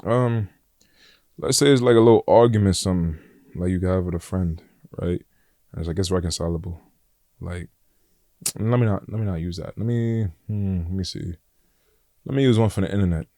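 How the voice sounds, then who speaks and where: A young man with a New York accent and a deep voice, talking bluntly and casually as a podcast guest, full of slang and "like" and "bro". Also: brisk, pauses often, warm tone, no echo, studio quality. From Flatbush, Brooklyn, New York. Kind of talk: casual conversation.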